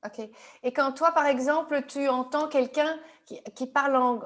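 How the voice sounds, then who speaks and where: High-voiced woman calmly asking questions. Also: normal speed. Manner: calm probing questions. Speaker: EFT practitioner. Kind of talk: guided therapy session.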